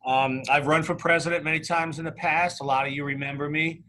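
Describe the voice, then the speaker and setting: A middle-aged man hosting a semi-formal campaign discussion, speaking in halting, repetitive phrases. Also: a little high, fairly even intonation, bright, forceful tone. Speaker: talk show host and union candidate.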